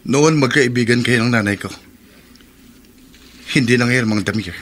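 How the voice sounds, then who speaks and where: A man's voice: medium pitch, normal speed, fairly bright tone, close to an everyday voice. An actor playing an angry, accusing young man with a confrontational manner in a Filipino radio drama.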